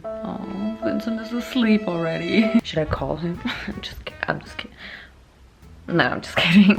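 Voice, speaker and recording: A young woman, chatting in a hotel room late at night, giggly and tired, her voice worn low. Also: gravelly. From Germany. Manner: giggly, chatty, laughs often. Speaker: vlogger. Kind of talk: casual late-night vlog.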